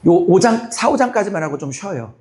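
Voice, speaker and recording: A middle-aged male pastor with a high-pitched voice, preaching to his congregation. His voice has gone hoarse and cracks from long talking. He keeps throwing questions at the listeners and talks in a blunt, forceful way. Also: very animated, fairly quick, slight background noise. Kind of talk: sermon.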